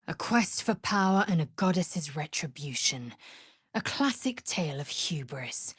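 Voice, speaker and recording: A woman voicing a fierce, proud alien warrior in a video game, speaking with a husky rasp in a haughty, clipped, commanding and formal manner. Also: deep voice, a little mellow, no echo, clean studio sound, exaggerated voice. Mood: resolute. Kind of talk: video game character voice acting.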